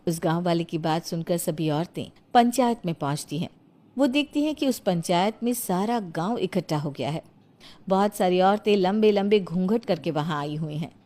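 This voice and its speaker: A woman's voice: on the low side, lively intonation, moderate pace, mellow. A woman reading scripted narration as the storyteller of a tale.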